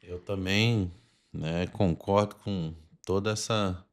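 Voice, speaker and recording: A man's voice: deep voice, steady tone, slow pace. A Brazilian man hosting a podcast, speaking conversationally in articulate, formal wording. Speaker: podcast host. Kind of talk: conversational interviewing.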